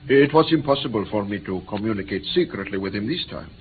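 A man's voice: fairly low pitch, animated, fast talker, close to an everyday voice. An actor playing a shaken, earnest male interpreter who tells his ordeal hesitantly in a vintage radio drama. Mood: weary, grave. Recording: tinny, noisy vintage radio recording.

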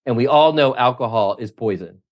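A man's voice: medium pitch, fairly quick, highs cut off. Emphatic, opinionated male triathlon coach. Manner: emphatic, opinionated, lectures with lists. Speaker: triathlon coach, podcast guest. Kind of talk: interview answers.

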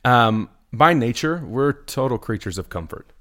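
A middle-aged man, a pastor, talking in a relaxed conversational way, earnest and a little preachy, who keeps asking "right?". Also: medium pitch, unhurried. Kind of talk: conversational podcast talk.

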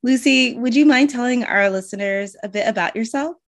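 A woman's voice: a little high, fairly quick. A woman hosting a podcast interview, enthusiastic and hesitant, with frequent fillers. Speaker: podcast host.

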